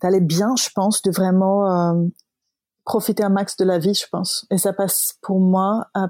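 A woman's voice: medium pitch, flat delivery, a little slow, a little mellow, dry recording. A woman with a light Swedish accent answering interview questions conversationally, often searching for her words. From Sweden. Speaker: podcast guest, naturopath and yoga practitioner.

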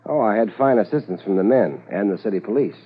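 A man playing a calm, capable police corporal in a 1950s radio drama, with a husky voice. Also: on the low side, quick pace. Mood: measured, reporting. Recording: tinny 1950s radio recording.

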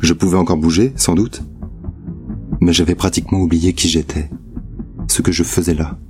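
An actor playing a grave, disillusioned journalist in a solemn, measured and literary audio drama monologue. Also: male voice, deep voice, flat delivery, over music, natural voice. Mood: haunted. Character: Andreï, grave, disillusioned male journalist confessing truth.

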